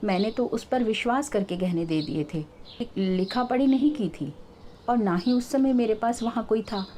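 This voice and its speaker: A woman's voice: on the high side, normal speed, warm tone, a little hiss or hum, lightly acted. A woman voicing an earnest, trusting woman who lends to others, in a questioning manner, within a told story. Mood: regretful. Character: trusting, earnest young wronged woman moneylender.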